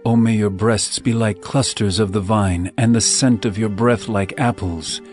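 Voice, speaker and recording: An actor playing an adoring royal lover, declaiming love poetry in a measured, ardent way for a dramatized scripture audiobook. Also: male voice, lowish voice, steady tone, darkish tone, soft background music, natural voice. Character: adoring royal male lover praising his bride. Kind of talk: poetic dramatized scripture reading.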